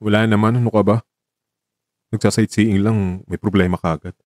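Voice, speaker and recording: A man's voice: lowish voice, level tone, fairly quick, darkish tone, no room sound, studio quality, close to an everyday voice. An actor playing a guarded, weary young man in love in a romantic radio drama, with an understated, evasive casual manner. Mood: evasive, light.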